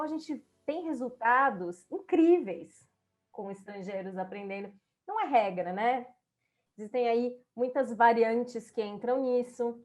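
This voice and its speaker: A woman's voice: fairly high pitch, a little mellow. A woman with a Brazilian Portuguese accent speaking on a panel about language learning, reflective and enthusiastic, often repeating her phrases. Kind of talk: panel talk.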